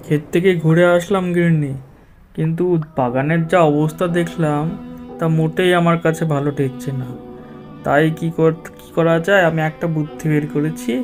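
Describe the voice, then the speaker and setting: Man acting a plaintive, high-voiced village farmer. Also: steady tone, moderate pace, darkish tone, close to an everyday voice. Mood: worried. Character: poor village chilli farmer, worried, plaintive husband. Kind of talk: dramatised cartoon story dialogue. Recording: music behind the voice.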